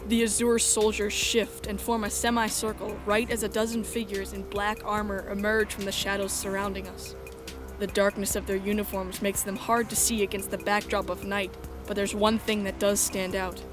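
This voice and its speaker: Female narrator, mid-pitched, scripted dramatic storytelling, first person, clear music bed underneath. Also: flat delivery, normal speed.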